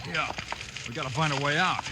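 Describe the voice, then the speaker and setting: A man's voice: on the high side, a little fast, natural voice. An actor playing an upright, plain-spoken American lawman hero in a 1950s radio Western, firm and authoritative. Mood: urgent. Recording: very noisy old 1950s radio recording.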